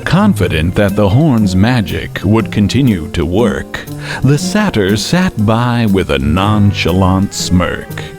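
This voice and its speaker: A man narrating a scripted comic radio tale in a wry, theatrical manner, relishing his words with deliberate pauses. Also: fairly low pitch, big pitch swings. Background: music, plucked guitar.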